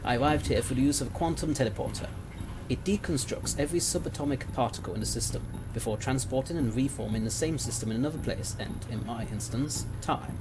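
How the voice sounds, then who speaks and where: A man's voice: medium pitch, fast, close to an everyday voice. Guy acting lofty impatient alien scientist man in an audio drama, eccentric n precise, noisy background. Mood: haughty, explaining. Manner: precise, lofty, indignant lecturing.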